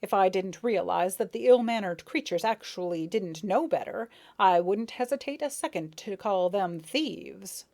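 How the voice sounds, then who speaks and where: A woman's voice: mid-range voice, expressive, a little mellow. A woman voicing a good-natured but pompous male rose beetle who talks indulgently and flares into anger. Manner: indulgent, self-satisfied, quick to anger.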